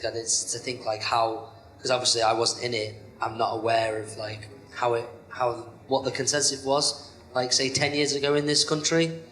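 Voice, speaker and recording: A man's voice: mid-range voice, reverberant, slight background noise, low-quality recording. A young man, a pro wrestler, chatting casually in an interview, rambling with lots of fillers. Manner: rambling, many "you know" fillers, self-described monotone. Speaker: professional wrestler, interview guest.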